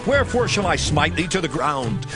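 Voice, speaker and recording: An actor voices an ancient army captain in a scripture drama. His male voice rides high, declaiming solemn, urgent archaic lines over prominent music. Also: sing-song, normal speed. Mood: urgent warning. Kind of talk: dramatized scripture audiobook acting.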